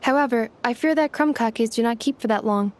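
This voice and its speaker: A woman's voice: a little high, a little fast, darkish tone, slight background noise, lightly acted. A woman voicing a polite, literal-minded female robot helper in a video game, formal and precise in her wording. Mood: polite, matter-of-fact. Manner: formal, precise, matter-of-fact. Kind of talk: video game character acting.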